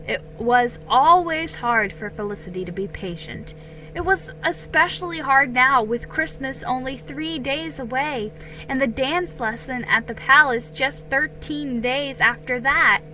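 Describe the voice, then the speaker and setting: Female storybook narrator, high-pitched, bright and forceful, slow dramatic reading over music. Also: a little hiss or hum, poor audio. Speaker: story reader.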